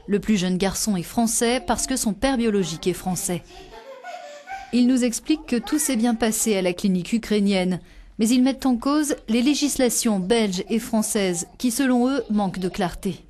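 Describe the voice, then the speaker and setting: A woman's voice: mid-range voice, a little mellow. Woman reading a news report. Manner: measured, clear news delivery. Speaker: reporter narrating. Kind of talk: scripted news voice-over.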